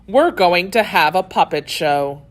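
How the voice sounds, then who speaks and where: A woman's voice: fairly low pitch, animated, a little slow. A woman voicing a wily male showman in a storybook, theatrical and effusive, given to dramatic exclamations. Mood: gushing, grand.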